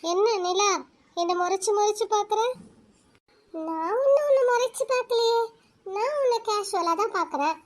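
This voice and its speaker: A woman voicing the gentle talking moon. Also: extremely high pitch, animated, moderate pace, pauses often. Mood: coaxing. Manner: coaxing and gentle. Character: gentle kindly moon spirit, comforting.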